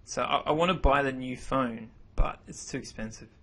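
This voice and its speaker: Husky-voiced man teaching a language lesson. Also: medium pitch, moderate pace. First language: English. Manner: relaxed, self-correcting explanations. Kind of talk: narrated slideshow lesson. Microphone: voice-over.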